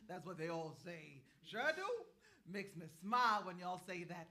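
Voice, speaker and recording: An actress as an earthy, ageless river woman, sly and folksy, fishing for her supper. Her voice is very bright and forceful and swings widely in pitch as she teases and dispenses wisdom in a theatrical stage reading. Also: animated, normal speed. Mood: amused, teasing. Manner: folksy, teasing, chuckling drawl of a wise elder.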